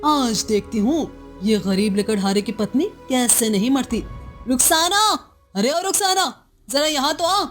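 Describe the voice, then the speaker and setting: Scheming cartoon wife voiced by a woman: high pitch, jealous and commanding, slyly coaxing, faint music behind. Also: expressive, measured pace. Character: scheming, jealous, cruel chieftain's wife feigning sweetness. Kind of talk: Hindi cartoon moral-story voice acting.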